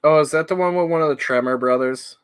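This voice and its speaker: A man's voice: a little high, fast, clean tone, dry recording, clean studio sound. A man chatting casually about films as a live stream co-host, rambling and swearing freely. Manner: rambling, profane.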